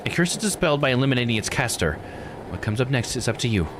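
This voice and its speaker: A man's voice: medium pitch, very animated, quick pace, noisy background, close to an everyday voice. A man voicing a solemn, protective mystic who wards off curses, reading grave visual novel dialogue. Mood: urgent, grave. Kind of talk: visual novel character read-aloud.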